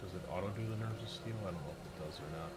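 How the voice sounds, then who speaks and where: A man narrating and running a tabletop game as game master, in a relaxed, loose way with plenty of fillers. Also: low voice, very fast.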